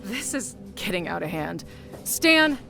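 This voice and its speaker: A woman's voice: medium pitch, very animated, a little slow, gravelly, bright, forceful tone, faint music behind, full character voice. A woman playing a brash, slangy, impatient diner waitress in an audio horror drama. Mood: exasperated. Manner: sassy, slangy, clipped.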